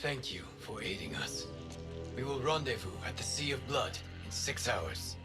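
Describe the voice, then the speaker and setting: A man's voice: mid-range voice, lively intonation, music in the background, lightly acted. A man voicing a dignified, formal wind god. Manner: formal, measured.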